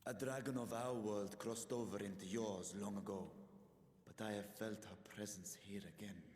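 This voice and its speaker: A man's voice: lowish voice, fairly even intonation, normal speed, a little mellow, natural voice. An actor playing a grave, suspicious male warrior in a video game, speaking formally and demanding answers. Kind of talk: scripted video game drama. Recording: distant, echoey game audio, faint noise.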